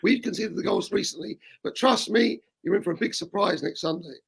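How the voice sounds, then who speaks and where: Croaky, gravelly-voiced man. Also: sits high, brisk, fairly bright tone. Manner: teasing, defiant. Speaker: guest fan. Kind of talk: casual banter.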